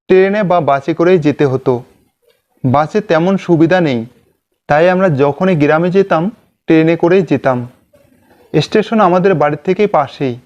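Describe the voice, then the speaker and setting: A man's voice: on the high side, darkish tone, dull audio. A man narrating a scripted ghost story in the first person. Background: background music.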